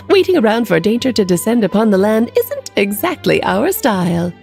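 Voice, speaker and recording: A woman's voice: fairly high pitch, vocal fry, heavily acted. A woman playing a playful, flamboyant, mischievous witch who talks in a theatrical, teasing, sing-song way in game cutscene dialogue.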